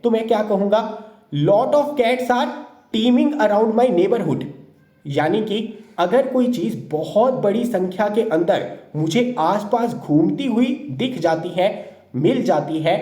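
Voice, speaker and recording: A man's voice: high voice, mellow. A man teaching English vocabulary in an exam-prep lesson, asking questions and then answering them himself. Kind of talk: explanatory lesson lecture. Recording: roomy echo.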